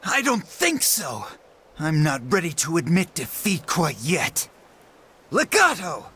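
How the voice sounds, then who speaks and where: An actor playing an arrogant, sadistic young aristocratic villain in a video game, with a theatrical, mocking drawl. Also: male voice, on the high side, wildly swooping pitch, slow, husky, heavily acted. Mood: imperious, cold. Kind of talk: video game cutscene voice acting. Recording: loud music over the voice.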